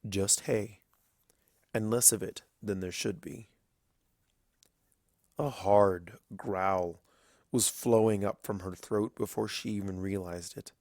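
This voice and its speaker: Slow, deep-voiced man narrating dramatic fiction. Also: steady tone, darkish tone, dry recording, studio quality. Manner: grave, deliberate storytelling with dramatic pauses.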